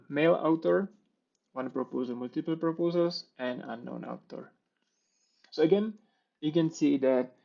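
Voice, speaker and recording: Man with a gravelly voice. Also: mid-range voice, muffled recording. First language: Czech. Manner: explanatory, steady walkthrough with small restarts. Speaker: conference speaker. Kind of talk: live-coding tech talk.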